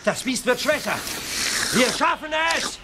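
A man's voice: extremely high pitch, lively intonation, measured pace, heavy background noise. A man playing a brave, loyal young hero in a German audio drama, speaking with urgent energy.

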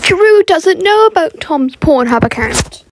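A woman's voice: very high voice, animated, measured pace, slight background noise. A young woman doing an amateur comedic cartoon dub in a silly, improvised, blunt way. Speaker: fan dubber voicing every character.

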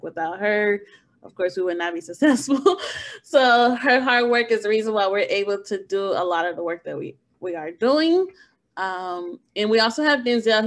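Young female host, info session on a video call, relaxed and chatty. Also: mid-range voice. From Oakland, California. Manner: relaxed, chatty, laughs easily. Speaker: academic preparation specialist, meeting host.

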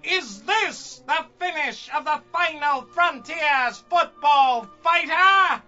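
A man's voice: very high voice, slow pace, exaggerated voice. A man playing a grandiose announcer narrating a melodramatic pulp space adventure radio serial. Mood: dramatic suspense. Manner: grandiose, theatrical. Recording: dull lo-fi audio under loud music.

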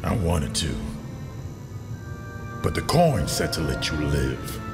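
A man's voice: extremely low pitch, very animated, slow, over music, full character voice. A man playing a menacing, sardonic crime boss with a taunting, theatrical manner in an audio drama.

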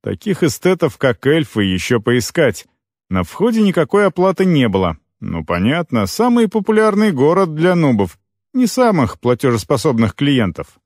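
A man narrating scripted first-person fiction as an audiobook reader, expressive, with deliberate phrase breaks. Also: fairly high pitch, no room sound, clean studio sound.

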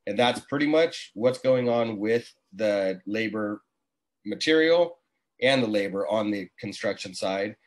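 A man in his forties speaks in a fairly low voice. He talks in an easygoing, conversational way, like a tradesman explaining his work in a casual interview over a video call. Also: animated, measured pace. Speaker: remodeling contractor, guest. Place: small indoor room, video call. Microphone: computer mic on a video call.

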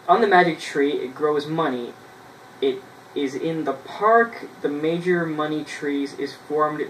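A man, casually reading his old writing aloud in a halting way, with self-mocking asides, in a small bedroom. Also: fairly high pitch. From Canada. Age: 20s. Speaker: vlogger. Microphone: camera a few feet away. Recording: roomy, distant mic with noise.

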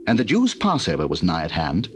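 A man's voice: low-pitched, wildly swooping pitch, fairly quick, slight background noise. A man narrating a dramatized scripture in a formal, solemn storytelling manner.

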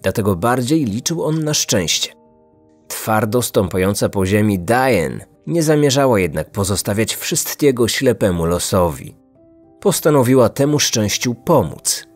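A man's voice: fairly low pitch, big pitch swings, unhurried. A man from Poland in his thirties narrating a scripted story as a podcast host. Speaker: true crime podcast host. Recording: music bed behind the voice. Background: background music.

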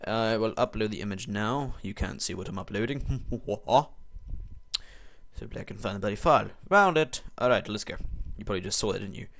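A man's voice: fairly low pitch, animated, normal speed, creaky, a bit bright. A teenage boy giving casual, rambling commentary over a screen recording, muttering and mocking himself as he types. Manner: rambling, self-mocking, muttering while typing. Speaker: video maker.

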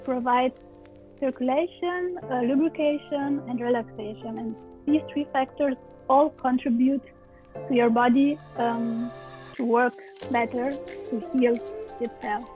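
A woman's voice: sits high, fairly even intonation, unhurried, warm tone, faint music behind. A woman in her thirties from Romania, telling her story openly in a video-call interview from her living room. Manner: open storyteller, laughs often, gestures while talking. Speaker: guest, pelvic steam practitioner. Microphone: video call, laptop mic. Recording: dry, tinny video-call audio.

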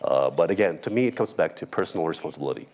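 A middle-aged man with an American accent, speaking as a panelist at a conference, measured and emphatic, often ending points with "right?". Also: very deep voice, lively intonation, a little mellow. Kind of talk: panel discussion. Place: large conference hall stage.

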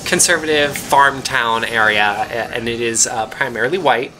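A man's voice: medium pitch, moderate pace, background noise. A young man from Pennsylvania telling a rambling story with lots of fillers while co-hosting a game playthrough. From Pennsylvania, USA. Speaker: let's play co-host. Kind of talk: casual chat over gameplay.

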